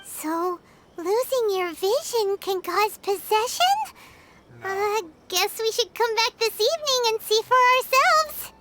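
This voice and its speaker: Squeaky, childlike game fairy. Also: female voice, slow, background noise, full character voice. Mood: curious. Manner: excitable, exclamatory. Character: small eager childlike female fairy companion, chirpy and flustered. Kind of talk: video game voice acting. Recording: loud game sound behind voice.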